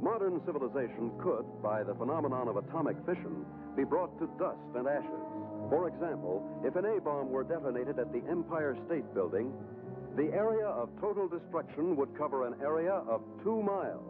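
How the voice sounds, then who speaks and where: A man's voice: a little high, animated, a little fast, close to an everyday voice. A man playing a grave American newsreel announcer of the 1950s, with a formal, dramatic delivery. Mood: grave, ominous. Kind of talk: 1950s newsreel scripted narration. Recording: tinny, band-limited old film soundtrack. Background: loud newsreel music.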